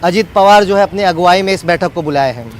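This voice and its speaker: A man's voice: high voice, fast talker, a little hiss or hum. A man giving a wordy, unscripted live news report as a field reporter, often repeating set phrases.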